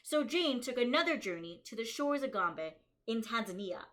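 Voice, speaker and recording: Bright-voiced woman reading aloud to kids. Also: fairly high pitch, lively intonation. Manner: pauses the reading to think aloud and explain; slows down and stresses key story lines. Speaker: kindergarten teacher. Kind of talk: picture-book read-aloud for young children.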